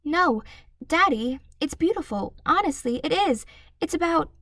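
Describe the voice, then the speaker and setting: A woman's voice: high voice, lively intonation, a little slow, fairly bright tone, dry recording, voice pushed up, heavily acted. A woman voicing a polite, eager little girl in a storybook reading. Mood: pleading. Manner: pleasant, eager, pleading.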